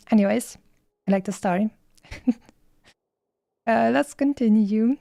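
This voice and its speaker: A woman's voice: on the high side, slow pace, gravelly, dry recording. A woman game streamer giving casual, enthusiastic commentary in a rambling, hesitant way. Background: soft game music.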